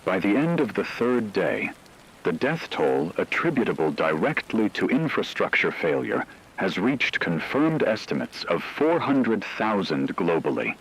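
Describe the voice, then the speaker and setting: A man as deep-voiced, solemn emergency radio announcer. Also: very animated, slightly rough, exaggerated voice, poor audio. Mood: grave. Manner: solemn, measured, formal. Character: grave male radio anchor reporting a global catastrophe. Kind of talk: scripted news narration.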